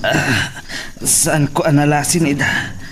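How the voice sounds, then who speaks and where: A man's voice: fairly high pitch, takes it slowly, fairly bright tone, slight background noise. A man playing a weak, wounded Ilocano man pleading in a radio drama. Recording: distant voice with music behind.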